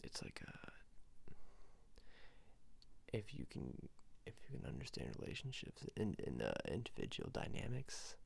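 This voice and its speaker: Up close on the mic, a man acts out an eccentric, cryptic ring salesman for an ASMR roleplay. His voice is heavily creaky and often drops into a whisper, with pitch that moves around a lot. He pushes his wares in halting, looping phrases, repeating words again and again. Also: sing-song. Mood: cryptic, warning. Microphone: close ASMR microphone.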